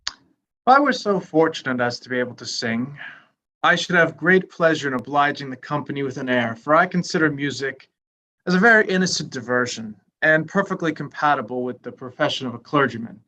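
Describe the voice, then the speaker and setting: A man performs a pompous young clergyman in a period drama reading. His medium-pitched voice delivers formal, long-winded sentences with obsequious self-importance. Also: a little fast, pushed and bright, lightly acted. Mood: self-satisfied.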